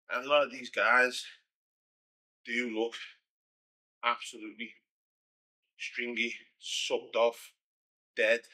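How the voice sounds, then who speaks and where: A Scouse man talks straight to his viewers in a loose Q&A. His voice is bright and forceful, and he speaks bluntly with plenty of "you know"s and restarts. His pitch sits mid-range. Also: rough recording. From Liverpool, England. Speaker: pro bodybuilder hosting a Q&A. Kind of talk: casual monologue Q&A.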